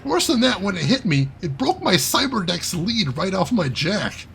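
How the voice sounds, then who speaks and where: A man's voice: sits high, sing-song, moderate pace, creaky, a bit bright, slight background noise, exaggerated voice. A man reading aloud and voicing a wry male hacker character who tells a self-deprecating heist story in a chatty way. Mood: rueful.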